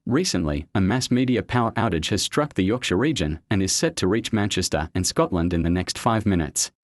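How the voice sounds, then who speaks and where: A man's voice: lowish voice, animated, fairly quick, no echo, clean studio sound. A man reading a scripted emergency announcement as a television continuity announcer.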